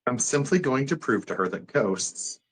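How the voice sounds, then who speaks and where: A man playing a polite, coolly determined sceptical doctor, formal and measured, in a table read. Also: medium pitch, lively intonation, fairly bright tone, natural voice, rough recording. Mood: polite, assured.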